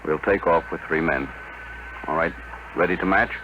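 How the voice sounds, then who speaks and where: An actor playing an authoritative spaceship leader who gives brisk, methodical orders in a 1950s radio drama. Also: male voice, deep voice, highs cut off, natural voice. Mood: steady, commanding. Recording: very noisy old radio broadcast.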